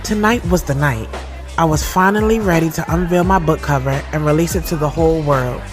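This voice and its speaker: A woman's voice: low voice, brisk, over music, lightly acted. A woman playing a heartfelt, lovelorn thirty-year-old who narrates her own story in a conversational, confiding way for an audio drama. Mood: reflective, proud. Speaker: lead actress and narrator.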